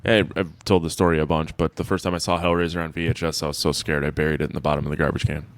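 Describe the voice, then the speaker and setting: A man's voice: very deep voice, level tone, racing. A man with a Wisconsin accent hosting a podcast, chatting with crude, teasing humor. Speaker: podcast host. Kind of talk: casual chat.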